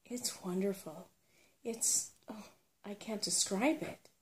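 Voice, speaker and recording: Woman reading as a soft, hesitant young boy. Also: mid-range voice, takes it slowly, natural voice. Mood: wistful wonder. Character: shy, eager homeless human boy. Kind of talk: soft storybook character reading.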